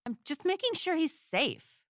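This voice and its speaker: A woman's voice: very high-pitched, very animated, measured pace, no room sound, studio quality, exaggerated voice. An actress playing a fed-up, brusque and sarcastic female sidekick in an audio drama. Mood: irritated, sarcastic. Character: blunt, sarcastic, fed-up cyborg-armed sidekick woman. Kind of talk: scripted audio drama acting.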